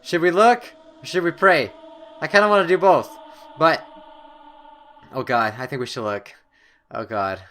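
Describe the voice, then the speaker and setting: A young man hosting a horror game playthrough, reading the story text aloud in an excitable, dramatic way and stumbling now and then. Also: fairly high pitch, measured pace, long pauses, a little hiss or hum. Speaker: gaming video host.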